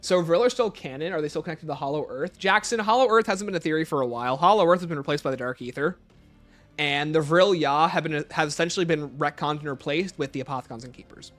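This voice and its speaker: A man's voice: on the high side, fast talker, fairly bright tone, soft background music. A man hosting a casual livestream, chatting informally and playfully as he answers viewer questions. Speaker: livestream host.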